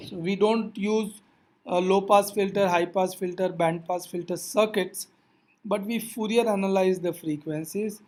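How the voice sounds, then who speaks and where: Give the voice of a physics teacher with a medium pitch. The delivery is an explanatory lecture, didactic and aimed straight at learners, with reminders along the way. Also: steady tone, unhurried, a little mellow.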